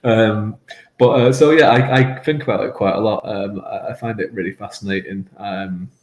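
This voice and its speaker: Low-voiced Mancunian man in an echoey room. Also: steady tone, moderate pace, a little mellow. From England, Manchester. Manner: rambling, self-deprecating, laughs often. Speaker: game show contestant, guest. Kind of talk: casual interview chat.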